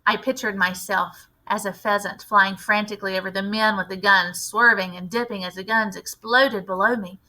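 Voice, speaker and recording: A woman's voice: mid-range voice, steady tone, normal speed, hardly any pauses, bright, forceful tone, low-quality recording. A woman narrating a children's novel expressively, as a teacher reading aloud.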